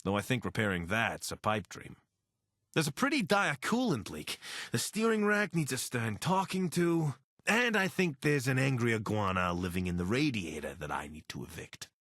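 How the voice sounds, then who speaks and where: An actor playing an anxious, wry deserter soldier and pilot in a video game, speaking in a conversational, earnest way. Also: male voice, mid-range voice, sing-song, normal speed, a bit bright, dry recording, clean studio sound. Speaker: voice actor for a companion character. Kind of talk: video game character dialogue.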